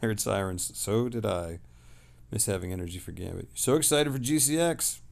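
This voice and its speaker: A man casually hosting a livestream, reading messages aloud and replying in a rambling, halting way that trails off. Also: fairly low pitch, gravelly.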